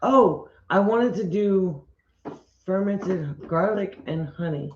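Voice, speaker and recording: A woman's voice: mid-range voice, slow. Woman rambling on a home livestream, echoey room, kinda muffled audio. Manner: chatty, rambling with restarts. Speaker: homestead channel co-host. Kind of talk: casual livestream chat. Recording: roomy, dull livestream audio.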